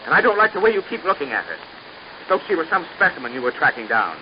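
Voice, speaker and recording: An actor playing a jealous, lovesick young suitor in an old-time radio drama, earnest, excitable and given to stammering. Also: male voice, a little high, quick pace. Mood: jealous, angry. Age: young adult. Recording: noisy, muffled old radio recording.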